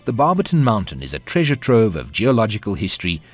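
A man reads documentary narration in a measured, expressive way. His voice sits low and has a husky edge, and his pitch moves a lot. Soft music plays underneath him. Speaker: program narrator.

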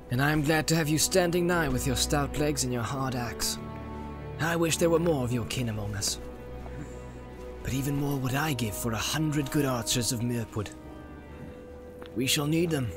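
A man voicing a calm, courteous male elf in a fantasy audiobook, speaking in an earnest, formal, measured way. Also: mid-range voice, natural voice. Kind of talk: dramatized audiobook character reading.

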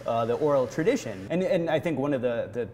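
An American man in his thirties from Vermont giving reflective interview answers, haltingly, searching for words. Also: mid-range voice, expressive, darkish tone. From Vermont, United States. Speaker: brewery founder being interviewed. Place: indoor barrel room of a brewery. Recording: noticeable background room noise.

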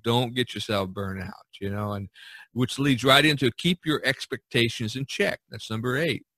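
A man's voice: mid-range voice, normal speed, sharp tone, no echo. A man hosting a casual podcast, giving advice in a rambling, folksy way full of "you know". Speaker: podcast host and painter. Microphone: video call recording.

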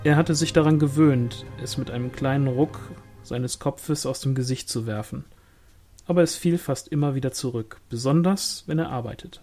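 A man's voice: medium pitch, moderate pace, a little mellow, faint music behind. A man reading a novel aloud as scripted narration, in the manner of an audiobook. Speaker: audiobook reader.

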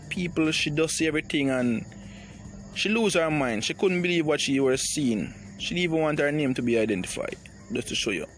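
A man with a Jamaican accent who reads news reports and then comments on them in dramatic patois, often calling out to his listeners as "people". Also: mid-range voice.

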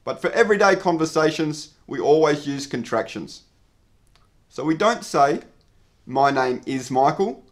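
A man in his thirties speaks straight to camera like a teacher. His voice sits a little high, and he explains each point clearly at an even, measured pace. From Australia. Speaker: English teacher. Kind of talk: scripted lesson to camera. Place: indoor studio with dark backdrop.

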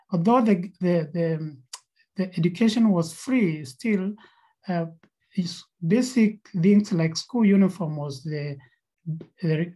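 A woman's voice: on the low side, warm tone, low-quality recording. A woman with a Kenyan accent, co-founder of a nonprofit, presenting slides on a video call with frequent fillers and repeated words.

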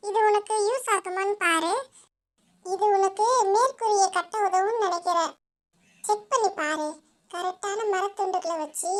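Cartoon crow for a kids' tale female performer: tiny, very high voice, courteous and meek. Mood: helpful. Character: polite, humble, freeloading crow in a children's story. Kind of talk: children's animal story character voice.

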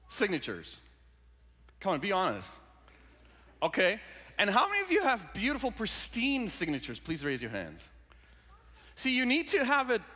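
A man, preaching a sermon with jokes and stories. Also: high voice. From South Africa. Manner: jokey, animated storytelling. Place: large church sanctuary. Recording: hall reverb in a sanctuary.

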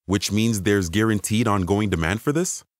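A man's voice: on the low side, normal speed, dry recording, clean studio sound, natural voice. A man playing an incredulous, money-minded manager in an audio story, with an astonished, questioning delivery. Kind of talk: acted dialogue in an audio short story.

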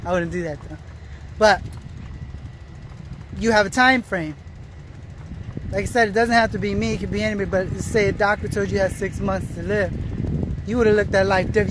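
A man in his thirties with a high-pitched voice talks casually into a phone held at arm's length on a roadside path. He rambles in slangy, repetitive bursts, often breaking off and restarting a thought. Faint outdoor traffic and ambient noise runs behind him. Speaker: vlogger. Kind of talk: casual walking vlog monologue.

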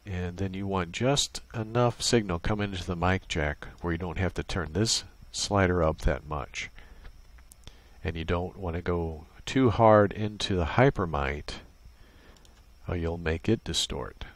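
A man presenting an unscripted tutorial, explaining a setup casually, step by step. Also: deep voice. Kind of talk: casual unscripted software walkthrough.

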